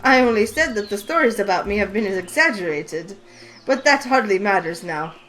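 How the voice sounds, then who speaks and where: A woman's voice: medium pitch, normal speed, soft background music, close to an everyday voice, rough recording. Woman reading a sly knowing old witch. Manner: teasing, knowing and calm. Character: wise sly older female witch shopkeeper. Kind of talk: voice acting a visual novel.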